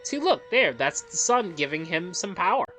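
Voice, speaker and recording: A woman gives chatty, animated game commentary in a low voice. Her pitch swings widely as she talks, in a casual, joking style, with music playing behind her. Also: normal speed, low-quality recording. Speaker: let's play host. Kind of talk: casual jokey game commentary with acted asides. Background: video game music.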